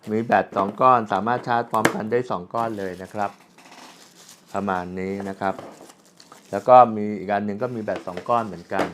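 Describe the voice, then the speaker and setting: A man gives a casual, unscripted product demonstration, explaining step by step as a reviewer. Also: lowish voice. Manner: explanatory, with frequent polite particles and spoken handling sounds. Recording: clearly audible background ambient noise.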